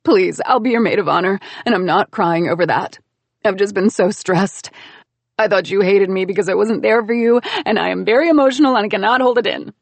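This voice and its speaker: An overwhelmed older sister crying and spilling her words out, voiced by a woman narrator. The voice is creaky and the recording dry and close. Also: mid-range voice, brisk, no room sound. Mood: tearful.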